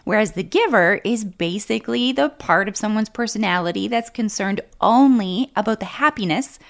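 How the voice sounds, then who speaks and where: A woman co-hosting a faith-based marriage podcast, speaking in a measured, unhurried way. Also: mid-range voice, lively intonation, vocal fry. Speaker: podcast co-host.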